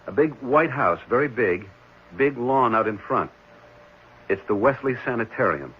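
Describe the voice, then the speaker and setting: A man's voice: medium pitch, animated, measured pace, husky. A man playing a concerned, thoughtful and somewhat puzzled ordinary man in a 1970s radio drama, speaking conversationally. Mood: concerned, obliging. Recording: dull, slightly noisy 1970s radio recording.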